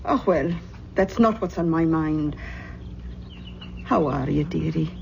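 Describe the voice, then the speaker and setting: An actress playing a kindly, firm older Scottish aunt in a radio drama, chatty, affectionate and coaxing. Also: female voice, low-pitched, slow pace, gravelly, muffled recording, exaggerated voice. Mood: fond, cheerful. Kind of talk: 1970s radio drama acting. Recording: noisy old radio broadcast recording.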